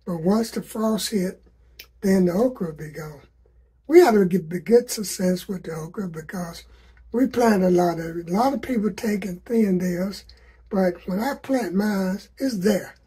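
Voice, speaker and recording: A hoarse man from South Carolina, giving folksy gardening advice to his viewers outdoors and repeating his sayings to drive them home. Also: high voice, unhurried, mellow. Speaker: gardening vlogger.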